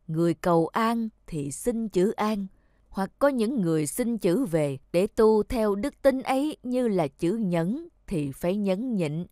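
A woman voicing a calm, wise old calligraphy teacher in a cartoon, explaining slowly and gently. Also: medium pitch, dry recording, lightly acted. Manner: slow, gentle, measured explaining. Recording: music behind the voice.

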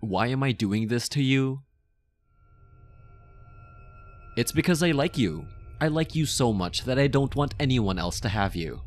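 A man playing a possessive, lovestruck mafia boss in an intimate ASMR roleplay, speaking softly and coaxingly, obsessive yet tender. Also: mid-range voice, expressive, faint music behind, close to an everyday voice. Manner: slow, soft, coaxing, close and intimate.

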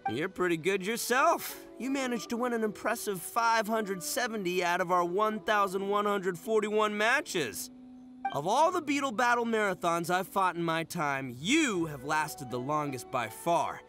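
A man's voice: high-pitched, measured pace, a bit bright, soft background music. A man voicing a brash, boastful, big-hearted young oni gang boss in a video game, cocky and slangy. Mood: impressed.

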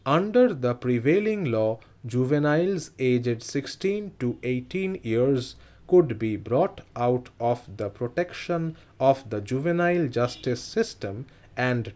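Indian man, mid-pitched voice, very slow steady dictation pace, parliamentary text for steno practice. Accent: Indian English. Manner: measured, evenly spaced phrases for transcription.

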